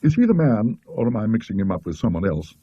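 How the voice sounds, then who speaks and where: A man's voice: fairly low pitch, very animated, quick pace, mellow, breathy edge, no echo, dull audio. A British man voicing an inquisitive, precise secretary of a learned society in a storybook. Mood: inquiring. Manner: precise, recollecting.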